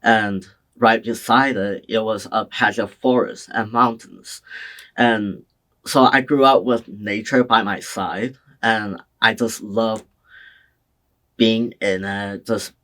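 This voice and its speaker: A young man from China answering oral history interview questions in a slow, hesitant, soft-spoken way. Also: lowish voice, steady tone. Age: young adult. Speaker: interviewee, community college student.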